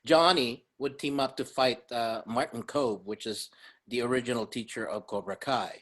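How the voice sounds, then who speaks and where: A man from the Dominican Republic chats as a podcast guest, his voice sitting at an ordinary middle pitch. He rambles and hesitates, stacking fillers, and laughs often. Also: moderate pace, a bit bright. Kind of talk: casual chat.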